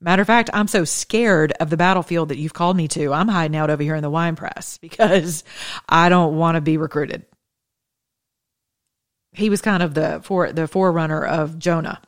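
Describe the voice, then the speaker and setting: A woman's voice: deep voice, moderate pace. A middle-aged woman preaching a sermon, talking casually and with humor, then building to fervent, emphatic preaching. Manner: conversational, joking, rising to fervent preaching. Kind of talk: impassioned sermon.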